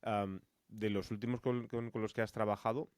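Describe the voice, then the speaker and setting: A man's voice: on the low side, level tone, normal speed, darkish tone, clear, resonant tone, dry recording, studio quality. A man co-hosting a podcast, chatting casually in a wordy, roundabout way. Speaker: podcast co-host. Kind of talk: casual interview conversation.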